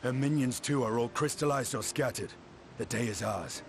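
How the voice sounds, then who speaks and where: A man's voice: medium pitch, moderate pace, a little hiss or hum, close to an everyday voice. An actor playing a spirited, confident male hero in a fantasy video game, with declamatory delivery. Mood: satisfied.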